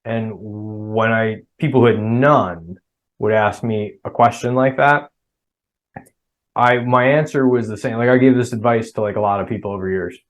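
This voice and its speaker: A man's voice: on the low side, steady tone, normal speed. A middle-aged man with a New Jersey accent, talking casually as a podcast guest. He rambles and often restarts his sentences. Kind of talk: casual chat.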